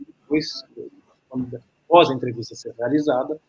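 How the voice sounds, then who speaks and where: A man's voice: mid-range voice, brisk, breathy edge, no room sound. A man with a Brazilian accent, a native Portuguese speaker, formally introducing a podcast as its host with careful, slightly hesitant phrasing. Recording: dull lo-fi call audio.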